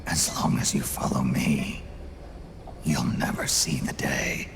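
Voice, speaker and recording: Very deep, gravelly old soldier. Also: male voice, fairly even intonation, slow pace, very noisy, exaggerated voice. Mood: grim, weary. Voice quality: gravelly, growling. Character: grizzled, world-weary aging male soldier, grave mentor. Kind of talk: cinematic video game acting. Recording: loud music behind. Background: loud score music.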